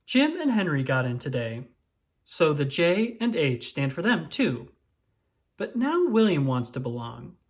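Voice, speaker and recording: Expressive storybook reader with swooping pitch. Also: measured pace. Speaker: children's book reader. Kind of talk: storybook read-aloud, first-person child narrator.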